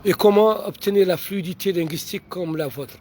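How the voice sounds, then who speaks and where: A Mauritanian writer with an accent, French not his first language, answering audience questions in a halting way with many fillers and restarts. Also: high-pitched, a little mellow, slight background noise. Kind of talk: unscripted answers to online questions.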